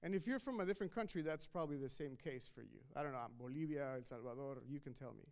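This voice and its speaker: A man's voice: medium pitch, fast talker, vocal fry. A man from Mexico, preaching a sermon in a conversational way, often restarting and repeating words. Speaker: pastor.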